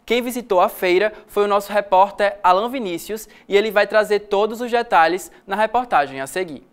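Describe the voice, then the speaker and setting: A man's voice: high-pitched, fairly quick, smooth. Man anchoring the news. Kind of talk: scripted news reading. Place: blue TV studio.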